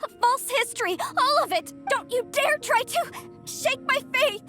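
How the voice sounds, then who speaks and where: Fervent young believer girl, super high n sharp, defiant game drama, distraught. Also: expressive, moderate pace, soft background music, full character voice. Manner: emphatic, distraught. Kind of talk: dramatic video game acting.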